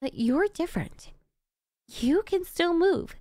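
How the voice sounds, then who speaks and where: A woman's voice: extremely high pitch, animated, slow pace, a little mellow, no echo, clean studio sound, heavily acted. A woman playing an obsessive, doting female supervillain in an ASMR roleplay, addressing the listener in a theatrical, coaxing, intimate way. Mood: gloating, menacing. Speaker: voice actress.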